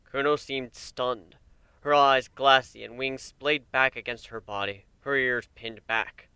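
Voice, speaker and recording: A man narrating a dramatic reading of fan fiction in an expressive, measured storytelling manner. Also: medium pitch, clean tone, dry recording.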